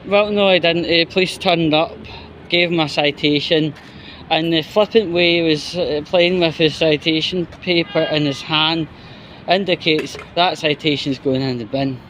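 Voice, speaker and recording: A man's voice: a little high, fairly even intonation, measured pace, a bit bright, a little hiss or hum, low-quality recording. A man giving an opinionated, exasperated, sweary commentary in a casual vlog style. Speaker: YouTuber.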